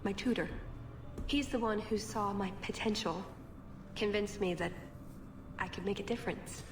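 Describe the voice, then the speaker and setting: A woman acting as an earnest, devout spy in a video game, speaking in a measured, solemn way. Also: mid-range voice, background noise, natural voice. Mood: confiding. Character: earnest female elven spy agent, devout and guilt-tinged. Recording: game dialogue in reverberant hall, music behind.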